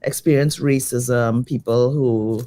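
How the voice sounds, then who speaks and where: Woman, design educator, slightly croaky, lively and thoughtful, interview answers. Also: a bass voice, takes it slowly. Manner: lively, thoughtful, many fillers. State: croaky from jet lag.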